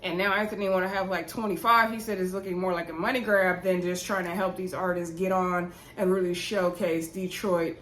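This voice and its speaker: A woman's voice: on the low side, fairly even intonation, fairly quick, hardly any pauses, fairly bright tone, a little hiss or hum. A woman giving a casual, chatty recap of a TV episode as a vlog host, conversational and opinionated, talking straight to her viewers. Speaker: YouTube reality show recap host. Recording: roomy, echoey indoor sound.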